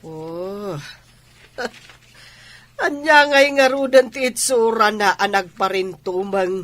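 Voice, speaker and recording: A woman's voice: medium pitch, expressive, slow, fairly bright tone. A woman with an Ilocano accent playing a skeptical, questioning village woman in a radio drama.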